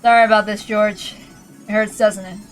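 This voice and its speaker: A villainous uncle from a visual novel, played by a woman. He talks casually and calmly, joking with mock kindness while being utterly ruthless. Faint music plays behind him. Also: medium pitch, normal speed, natural voice. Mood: cold, casual. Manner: casual, calm, mockingly kind. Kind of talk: dramatic visual novel character reading.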